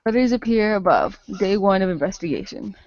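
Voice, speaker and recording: A woman narrating an improvised radio play as game master, with a halting delivery. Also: medium pitch, animated, a little mellow. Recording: dull lo-fi voice recording.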